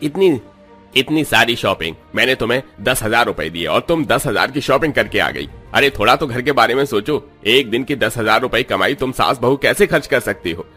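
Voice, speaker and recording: A man's voice: mid-range voice, sharp tone. A man playing an indignant, exasperated husband and father scolding his family in a cartoon. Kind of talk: Hindi cartoon story acting.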